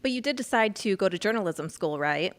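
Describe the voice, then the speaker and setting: A woman's voice: medium pitch, lively intonation, fairly quick. A woman hosting a podcast, asking attentive, encouraging interview questions. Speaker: podcast host.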